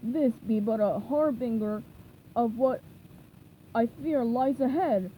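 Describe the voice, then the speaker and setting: A woman voicing a gruff, dramatic middle-aged male crab boss, loud and theatrical with old sea-dog talk. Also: on the high side, slow, phone-quality sound, slight background noise.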